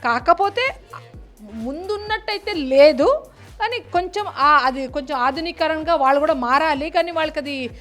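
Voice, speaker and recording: A woman's voice: high-pitched, expressive, normal speed, a bit bright, faint music behind. A middle-aged woman giving an informal interview answer, repeating words and mixing in English terms. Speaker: puppetry artist and teacher.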